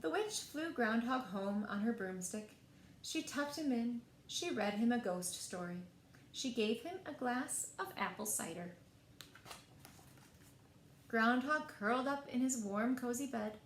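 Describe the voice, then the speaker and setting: A woman reading picture books aloud as a storyteller, in an expressive, deliberate way meant for young children. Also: on the high side. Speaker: library storyteller. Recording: echoey room, faint background noise.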